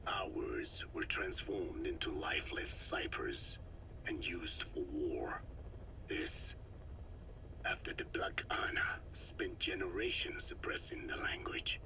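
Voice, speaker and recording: An actor voicing a very old Navajo man, a solemn scientist who speaks with slow, grave deliberation and a breathy rasp, for a video game. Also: rumbling low, expressive, in a whisper, far from the mic, full character voice, poor audio. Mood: solemn, regretful. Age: elderly.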